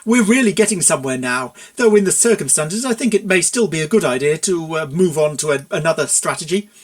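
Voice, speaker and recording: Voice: medium pitch, expressive, brisk, close to an everyday voice. A storyteller voicing a smarmy stallion image consultant from a cartoon pony world, nervous and condescending, with forced cheer.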